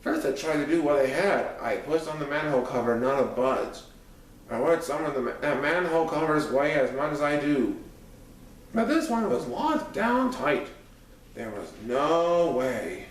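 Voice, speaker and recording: A man's voice: a little high, animated, normal speed. A man reading a first-person story aloud as a scripted narration. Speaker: audiobook narrator. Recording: echoey room, far from microphone.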